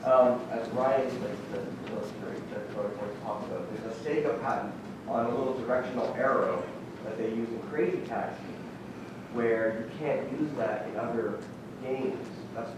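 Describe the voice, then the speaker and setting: A man in the audience asking a long, searching question, pausing to find his words. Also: medium pitch. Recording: echoey hall, far from mic, clear noise.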